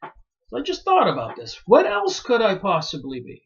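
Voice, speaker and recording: A man from Pennsylvania musing aloud in a rambling solo monologue, pausing often and filling gaps with "uh". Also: high voice, wildly swooping pitch, slight background noise. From Pennsylvania, United States. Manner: slow and musing, many pauses and fillers. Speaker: video commentator. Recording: dull lo-fi recording.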